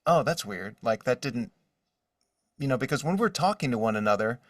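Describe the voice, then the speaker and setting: A man's voice: medium pitch, animated, normal speed, no echo, studio quality, far from the mic. A man speaking as a voice actor guest in a casual podcast interview, conversational and given to quoted asides.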